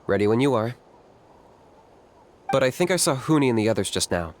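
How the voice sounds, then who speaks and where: A man voicing a cool, businesslike young warrior guide in a video game, matter-of-fact and measured. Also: medium pitch, very animated, a little mellow, lightly acted. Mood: instructive. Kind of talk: video game character dialogue.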